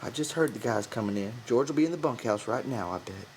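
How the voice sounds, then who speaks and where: A man voicing an old ranch hand in an audiobook, quiet, cautious and folksy. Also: mid-range voice, lively intonation, quick pace, a little hiss or hum, close to an everyday voice. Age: older. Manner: soft-spoken, folksy. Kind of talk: audiobook character voice.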